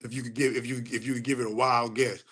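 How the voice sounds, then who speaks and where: A middle-aged man on a casual livestream rant, preachy and profane, repeating phrases for emphasis and climbing sharply in pitch when he gets heated. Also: flat delivery, fast talker, a bit bright, breathy edge. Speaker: livestream host answering chat.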